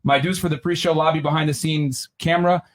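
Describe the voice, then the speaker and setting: A man co-hosting a podcast, reading announcements and viewer messages in a quick, bantering, promotional way. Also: fairly high pitch, steady tone. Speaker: podcast co-host. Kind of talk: casual podcast chat, reading super chats. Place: studio in Miami.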